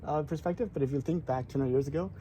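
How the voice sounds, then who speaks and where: Mellow-voiced hesitant male interviewer. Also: on the high side, very fast, slight background noise, rough recording. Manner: hesitant, uses uh and um often. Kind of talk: casual interview.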